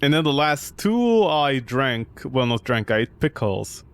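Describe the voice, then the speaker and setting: A man's voice: mid-range voice, sing-song, unhurried, smooth. A man giving casual, joking, sarcastic commentary while he plays through a horror game. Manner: sarcastic, jokey.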